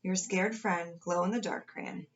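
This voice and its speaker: A woman's voice: lowish voice, fairly even intonation, a little slow, muffled recording, noisy background, lightly acted. A woman voicing a sheepish, frightened storybook crayon, rambling and pleading nervously. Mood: scared, pleading.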